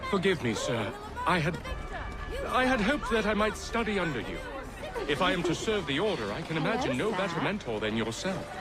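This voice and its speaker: An actor playing an eager, deferential young gentleman aide in a colonial-era video game, speaking formally and flatteringly. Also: sits high, fairly quick, a loud crowd. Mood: eager, respectful. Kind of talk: video game cutscene acting.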